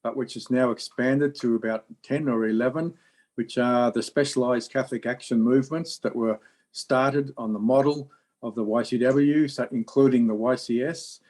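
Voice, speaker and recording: A man of ordinary pitch introduces a guest in fluent, measured sentences. He speaks smoothly, with few hesitations. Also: a little mellow. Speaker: meeting host introducing the speaker. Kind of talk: formal introduction.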